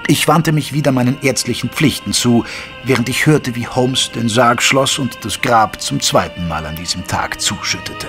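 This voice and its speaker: A man playing an earnest Victorian doctor who narrates a detective audio drama in a measured, literary way. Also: mid-range voice, over music, close to an everyday voice. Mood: measured, grave. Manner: measured, literary storytelling delivery. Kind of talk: scripted German audio drama narration with dialogue.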